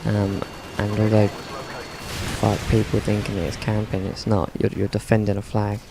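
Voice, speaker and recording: A man giving casual, monotone commentary over his own gameplay, with dry humour and many hesitations. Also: fairly low pitch, normal speed, vocal fry, a little hiss or hum. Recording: game gunfire in the background.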